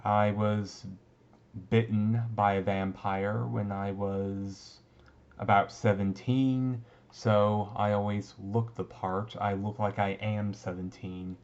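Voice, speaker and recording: Casting: male actor as brooding yet easygoing teen vampire for ASMR roleplay; low, slow, halting delivery, slightly muffled recording. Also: level tone, darkish tone. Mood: resigned, confiding. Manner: halting, conversational, mildly resigned. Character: brooding, easygoing male teenage vampire classmate, secretly thirty-seven. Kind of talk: ASMR roleplay, casual intimate talk.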